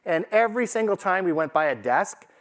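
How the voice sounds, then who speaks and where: A man's voice: high voice, lively intonation, a little fast. A man giving an informal business presentation to a live audience in a conversational, emphatic way, often checking in with rhetorical questions. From Canada. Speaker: conference speaker, AI consultant.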